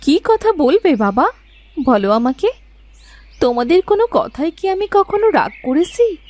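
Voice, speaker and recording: A woman's voice: sits high, very animated, unhurried, darkish tone, no room sound. A woman voicing a dutiful, obedient young village daughter in a cartoon, sweet and earnest. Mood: earnest, dismayed. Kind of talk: Bengali cartoon voice acting.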